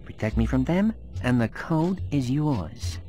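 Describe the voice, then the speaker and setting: Guy doing a sleazy wisecracking game arms dealer, middle pitch but really animated. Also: a little slow, slight background noise, lightly acted. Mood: sardonic, scheming. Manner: sardonic, wheeling and dealing. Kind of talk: cartoonish video game cutscene acting.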